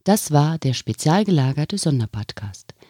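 A man's voice: a little high, sing-song, normal speed, noisy background. A man reading a scripted announcement as a podcast announcer.

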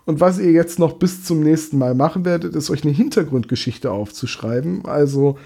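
Brief: young male game master, mellow, slightly high, rambling explainer. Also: normal speed, vocal fry, breathy edge. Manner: chatty, explains at length with many restarts. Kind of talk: casual chat about tabletop games.

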